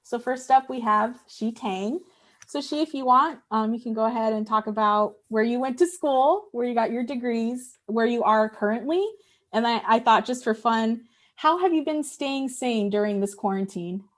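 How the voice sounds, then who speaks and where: A woman's voice: on the high side, normal speed. A woman moderating a panel, loose and informal as she introduces speakers. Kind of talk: hosting a panel.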